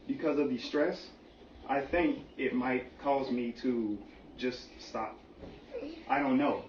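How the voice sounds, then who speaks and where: Earnest man, echoey and far from mic. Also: mid-range voice. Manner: halting, earnest, explains in simple terms. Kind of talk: serious personal conversation. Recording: muffled, echoey room, distant mic, faint noise.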